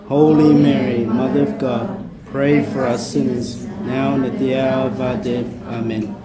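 A soft-spoken man from Vietnam, a Capuchin priest, leading a prayer workshop for a small group in a casual way and leaning on his notes. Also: medium pitch, level tone, a little slow, a loud crowd, low-quality recording. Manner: soft-spoken, relies on notes, chatty asides. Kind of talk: informal prayer workshop talk.

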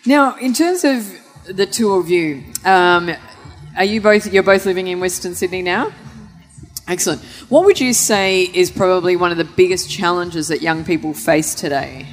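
A woman's voice: lowish voice, normal speed, soft background music. A woman hosting a live stage interview, chatty and lightly joking, with plenty of ums. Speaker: host. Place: large convention centre hall. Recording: reverberant hall.

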